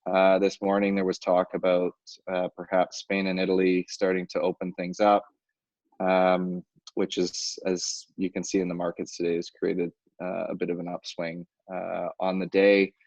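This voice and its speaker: A man giving a market update on a conference call, halting and full of fillers. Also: low-pitched, monotone, darkish tone, no room sound. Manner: halting, many fillers and restarts. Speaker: financial advisor. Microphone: phone or conference line. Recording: dull lo-fi conference call audio.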